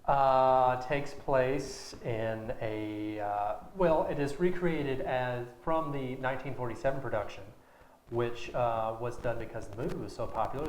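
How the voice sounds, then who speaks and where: Man, medium pitch, casual, jokey, hesitant, roomy sound, background noise. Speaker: director, interview guest. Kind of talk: casual interview chat. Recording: echoey room with background noise.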